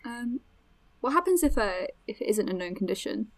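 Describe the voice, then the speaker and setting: A woman's voice: mid-range voice, big pitch swings, normal speed. A woman playing an eager, friendly young podcast host in an audio drama, chatty, encouraging and inquisitive.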